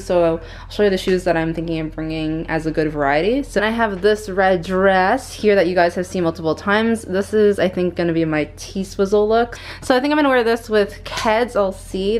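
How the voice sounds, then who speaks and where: A young woman from Montreal in her twenties, chatting casually and cheerfully to a handheld camera in her bedroom as a lifestyle vlogger. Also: fairly low pitch. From Montreal, Canada. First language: English. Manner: chatty and upbeat, laughs often. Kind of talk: casual vlog chat to camera. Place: small bedroom at home. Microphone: handheld camera at arm's length.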